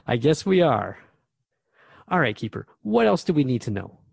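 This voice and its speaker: A man's voice: a little high, very animated, slow pace, gravelly, breathy edge, no room sound, clean studio sound, full character voice. A man playing a thoughtful, curious radio host in a radio drama, questioning a spirit guide in a reflective, conversational way. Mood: wry.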